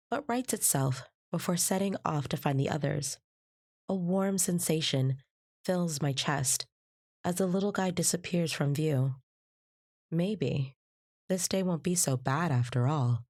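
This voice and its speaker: An actress playing an earnest young trainee, narrating her story in the first person in a measured, descriptive way. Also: sits low, a little mellow. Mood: awed, warm.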